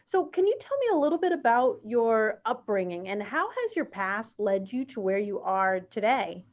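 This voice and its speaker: A woman's voice: fairly high pitch, very animated, moderate pace. A woman hosting an interview podcast, asking questions in an encouraging way with some fillers. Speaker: podcast host. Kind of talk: interviewing a guest. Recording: muffled lo-fi audio.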